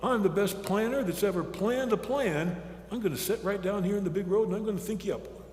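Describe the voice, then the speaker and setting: A man's voice: high-pitched, fairly quick, darkish tone, lightly acted. A man voicing a scheming folktale rabbit who talks in a breezy, boastful, conspiratorial and folksy way. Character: cocky, scheming male rabbit, self-styled master planner. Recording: echoey hall with long reverb.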